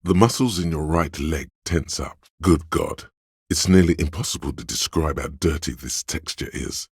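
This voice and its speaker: A man playing the grave, wry inner-voice narrator of a detective game, speaking in a measured, deliberate, literary way with dramatic pauses. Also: no echo, clean studio sound, exaggerated voice. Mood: dry, wry. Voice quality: very deep, gravelly, husky. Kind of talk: scripted game narration, second person.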